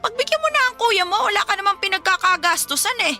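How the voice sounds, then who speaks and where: A woman's voice: very high voice, lively intonation, quick pace, soft background music, heavily acted. A woman playing a harsh, scornful mother in a Tagalog radio drama, scolding and badgering for money. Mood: scornful, nagging. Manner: scolding, sharp-tongued, badgering.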